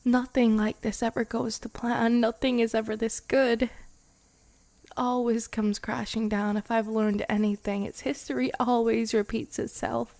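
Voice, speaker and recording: A woman voicing a centuries-old vampire girlfriend, wistful and protective, in soft, intimate ASMR roleplay that drifts into whispers. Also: a little high, flat delivery, no echo, lightly acted. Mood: worried. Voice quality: soft, breathy whispery moments. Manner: slow, hesitant, sighing between phrases.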